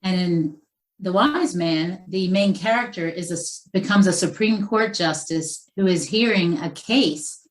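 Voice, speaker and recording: A woman author talks through her latest novel in a loose, chatty interview style, stopping and restarting as she thinks. Her voice sits a little low for a woman. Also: a little slow, rough recording. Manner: chatty, with frequent fillers and restarts. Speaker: author guest. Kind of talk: conversational interview.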